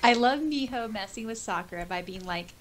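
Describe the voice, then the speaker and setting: A woman co-hosting a podcast in casual chat, reading out comments and reacting in an animated, giggly way with hesitant asides. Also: on the high side, fairly quick, a little hiss or hum. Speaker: podcast co-host and audiobook reader.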